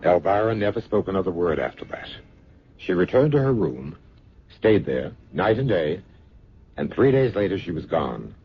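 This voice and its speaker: Deep-voiced, patient, haunted husband. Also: male voice, big pitch swings, normal speed. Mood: grave recounting. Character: patient, protective husband and stepfather, quietly haunted. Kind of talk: old-time radio drama acting. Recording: dull old radio recording, faint noise.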